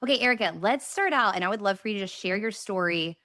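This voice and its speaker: A woman's voice: medium pitch, expressive, quick pace, no echo, clean studio sound. A woman co-hosting a podcast, encouraging her guest and inviting her to share her story. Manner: warm, encouraging interviewer. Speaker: podcast co-host. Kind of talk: casual podcast chat. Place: remote home studio.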